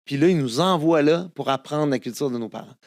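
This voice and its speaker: A man's voice: fairly high pitch, expressive, brisk, creaky, dry recording. A man in his fifties with a Québécois French accent, whose first language is Italian, telling stories as a guest in a casual interview, with many fillers and restarts. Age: 50s. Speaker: guest singer-songwriter.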